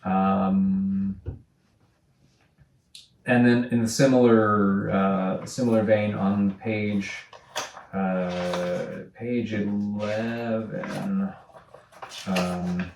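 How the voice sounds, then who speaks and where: Slow, halting man directing from across a room. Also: on the low side, fairly even intonation, background noise. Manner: halting, thinking aloud, acts out sample lines. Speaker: director giving actors notes. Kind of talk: informal rehearsal notes. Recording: roomy, distant mic, faint music.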